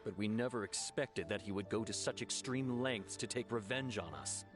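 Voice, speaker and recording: An actor playing an earnest, formal, measured military official in a video game. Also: male voice, fairly low pitch, over loud music, close to an everyday voice. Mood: grave, explanatory.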